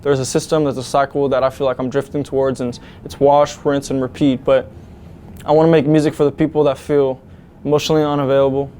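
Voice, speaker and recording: A man's voice: mid-range voice, flat delivery, quick pace, mellow, a little hiss or hum. A young man, a student musician, answering interview questions in an earnest, enthusiastic, rambling way. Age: young adult.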